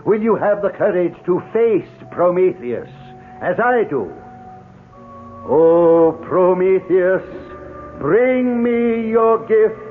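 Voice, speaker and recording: A man's voice: high voice, exaggerated voice. An actor playing a proud, fanatical fire-worshipping showman in old-time radio drama, declaiming theatrically and imperiously. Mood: grandiose, fervent. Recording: tinny old radio broadcast, faint music.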